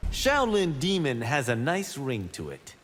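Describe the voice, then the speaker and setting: An actor playing a cocky, boastful young martial-arts monk in video game fight banter. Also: male voice, mid-range voice, wildly swooping pitch, a little slow, a bit bright, in a large hall, heavily acted. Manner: brash, proud, playful.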